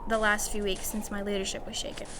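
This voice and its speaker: A woman's voice: mid-range voice, level tone, quick pace, background noise, lightly acted. A woman playing an earnest, self-doubting young adventuring leader in an audio drama. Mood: earnest, frustrated. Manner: earnest, unsure.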